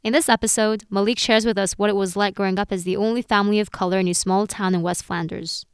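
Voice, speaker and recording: A woman podcast host reading scripted narration clearly and evenly. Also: medium pitch, steady tone, quick pace, dry recording, clean studio sound.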